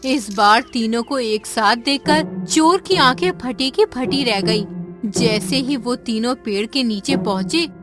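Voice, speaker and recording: A woman narrating a children's cartoon story in a deliberate storytelling manner. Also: fairly high pitch, lively intonation. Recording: narration over loud music.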